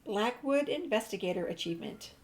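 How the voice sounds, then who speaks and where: A woman's voice: a little high, lively intonation, a little fast. A woman giving informal, easygoing playthrough commentary and reading game text and dialogue choices aloud. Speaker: gaming video creator.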